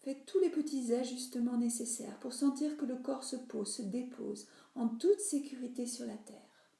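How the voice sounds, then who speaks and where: Woman, yoga nidra teacher, guided meditation, creaky mellow voice, soothing instructions, soft breathy endings. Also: high-pitched, normal speed. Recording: roomy, reverberant voice recording.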